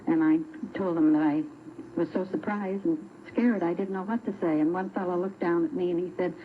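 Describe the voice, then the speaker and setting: A woman with an American accent recounts her own story in an orderly, formal way. Her voice sits fairly low. The old radio recording is narrow and tinny, with faint noise. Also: fairly even intonation, fairly quick. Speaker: show guest telling an anecdote. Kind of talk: unhurried personal storytelling.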